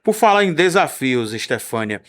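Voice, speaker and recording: A man's voice: a little high, big pitch swings, normal speed, fairly bright tone. A man with a Brazilian Portuguese accent hosting a radio interview, asking long, winding questions with "não é?" tags.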